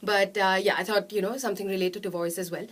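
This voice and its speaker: A nasal-voiced woman in her thirties talks fast and easily, like a radio host chatting about her work. Her voice sits at a middle pitch. She speaks from a small studio room, sitting before a camera. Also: fairly even intonation. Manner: fast, chatty, gestures a lot with her hands. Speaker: radio jockey and programmer. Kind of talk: casual interview answers.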